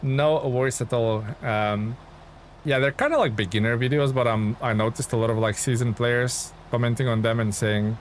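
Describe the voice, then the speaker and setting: A man talks casually to viewers while playing a game. His voice sits at an average pitch, and he is friendly and informal, often thinking aloud. Truck and engine sounds from the game are clearly heard behind him. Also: fairly even intonation, normal speed, slight background noise. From Lithuania. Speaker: video game livestreamer. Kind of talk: casual gaming stream chat and commentary.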